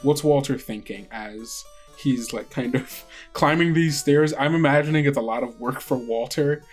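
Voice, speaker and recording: A man's voice: medium pitch, moderate pace, faint music behind. A man working as game master, narrating an improvised tabletop story in a conversational, scene-setting way.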